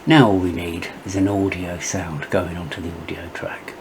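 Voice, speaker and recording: Middle aged guy doing a software walkthrough, lowish voice, bit of hiss behind him. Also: flat delivery, moderate pace. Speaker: tutorial presenter. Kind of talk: step-by-step software tutorial narration.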